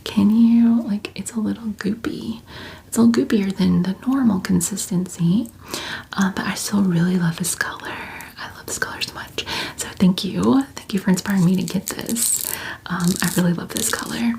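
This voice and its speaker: A woman's voice: mid-range voice, moderate pace, gravelly, darkish tone, a little hiss or hum, rough recording. A woman whispering through a chatty ASMR question-and-answer video, full of "like" and "um" fillers. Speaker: ASMR creator.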